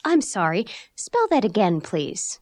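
A woman voicing a polite receptionist who takes names in a scripted textbook dialogue, speaking clearly and deliberately. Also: medium pitch, huge pitch swings, darkish tone, no room sound, studio quality. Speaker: office receptionist.

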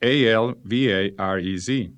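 A man's voice: lowish voice, measured pace, close to an everyday voice. Man playing a brief-answering young businessman. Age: 20s. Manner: short plain answers. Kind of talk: scripted textbook dialogue.

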